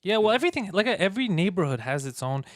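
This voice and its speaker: Man, Montreal-American mixed accent, rapid talker, slightly high voice, joking comedian guest. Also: sing-song, no room sound, clean studio sound. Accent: mix of Montreal and American English. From Montreal, Canada. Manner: quick, joking, self-mocking. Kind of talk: casual interview chat.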